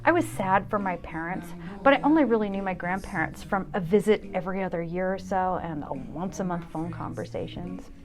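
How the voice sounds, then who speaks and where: A woman in her fifties narrates a personal essay with a rather low voice. She is wry and candid, reading as the host of her own show, with music clearly playing behind her. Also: a little fast, hardly any pauses. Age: 50s.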